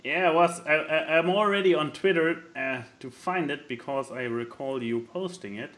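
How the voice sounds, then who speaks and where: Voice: medium pitch, normal speed, a bit bright. A podcast host chatting casually, with stammering starts and jokey asides. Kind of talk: casual chat.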